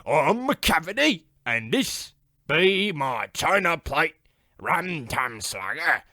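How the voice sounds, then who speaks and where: Theatrical Cockney chimney sweep, swooping pitch. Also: male voice, big pitch swings, slow, pushed and bright, no echo, studio quality, heavily acted. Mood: chummy, theatrical. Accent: exaggerated Cockney. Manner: theatrical rhyming-slang banter. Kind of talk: comic old-time radio play acting.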